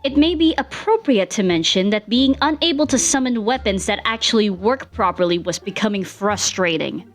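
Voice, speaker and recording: Animated woman narrating fan fiction. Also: medium pitch, moderate pace.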